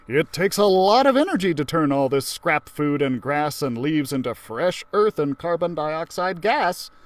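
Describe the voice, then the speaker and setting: A man plays an eccentric woman science teacher from a children's storybook. The voice is raised in pitch and swoops with eager emphasis as the lesson is explained, recorded dry and close. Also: very animated, unhurried, dry recording. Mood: eager explaining.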